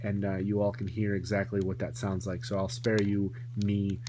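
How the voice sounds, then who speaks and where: A man's voice: fairly low pitch, monotone, moderate pace. A man giving a casual, rambling hands-on toy review, often correcting himself as he goes. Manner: rambling, self-correcting asides. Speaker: toy collector reviewing a vintage toy. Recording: noisy home recording with electrical hum.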